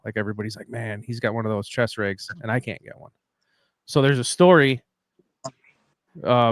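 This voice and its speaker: A man's voice: mid-range voice, clear, resonant tone, no echo. A man hosting a casual podcast, rambling and joking in a self-deprecating way. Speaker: podcast host.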